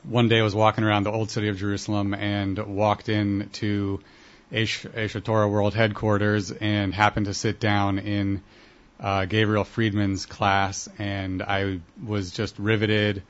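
Young male guest, low voice, halting delivery, muffled lo-fi audio. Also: monotone. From Columbus, Ohio. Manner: hesitant, many uh fillers. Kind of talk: casual interview answers. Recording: dull lo-fi broadcast audio.

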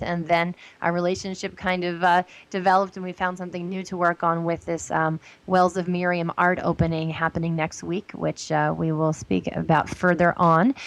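Earnest low-voiced woman podcast host. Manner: earnest, many "um"s and "like"s. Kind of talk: casual podcast interview.

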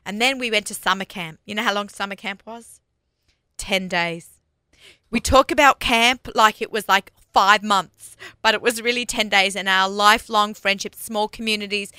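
A woman's voice: medium pitch, sharp tone, clean tone, dry recording. A woman in her forties with an Australian accent, hosting a radio show and chatting casually in the studio, laughing and restarting often.

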